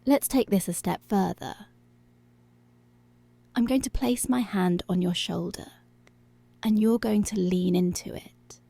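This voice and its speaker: Seductive, controlling policewoman played by a woman for ASMR roleplay: mellow, creaky, half-whispered, teasing, close-miked. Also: mid-range voice, measured pace, pauses often, dry recording. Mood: intimate, commanding. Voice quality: breathy, often slipping into whisper. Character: dominant, commanding, seductive policewoman. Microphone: close microphone.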